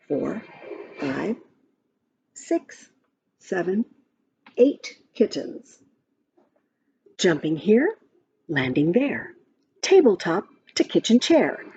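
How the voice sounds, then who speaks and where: A woman reading a rhyming picture book aloud to young children in an expressive, sing-song way, with counting and little asides. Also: mid-range voice, breathy edge. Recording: muffled lo-fi audio with background music. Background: music from a picture-book app.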